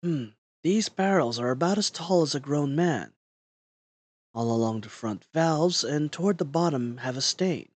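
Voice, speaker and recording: A man playing an earnest, cautious, gentlemanly adventurer with formal, theatrical phrasing in a fantasy audio drama. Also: on the high side. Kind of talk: fantasy audio roleplay acting.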